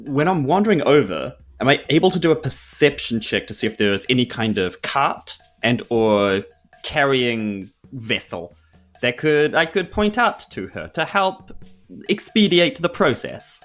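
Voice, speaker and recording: Casting: male tabletop player, New Zealand accent, informal and jokey improviser, soft music underneath. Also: medium pitch, lively intonation, measured pace. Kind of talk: casual improvised roleplay chat.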